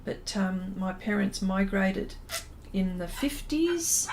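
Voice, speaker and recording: A middle-aged woman with an Australian accent hosts an informal livestream. Her voice sits at an average pitch for a woman, and she talks in a chatty, meandering way, often leaving thoughts half finished. There is faint noise in the recording behind her. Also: animated, slow, darkish tone. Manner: chatty, rambling, trailing off mid-thought. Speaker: livestream host, artist. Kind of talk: casual livestream chat, reading out viewer comments.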